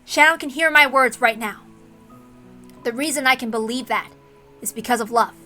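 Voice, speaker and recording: An earnest young man from a story reading, played by a woman, stubborn and devoted. The voice is bright and resolute, declaring itself firmly with faint music behind it. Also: fairly high pitch, normal speed.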